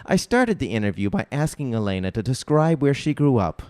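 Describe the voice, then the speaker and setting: A man hosting a community radio program, announcing and introducing in a measured, scripted way. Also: medium pitch, very animated, darkish tone, dry recording. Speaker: radio host.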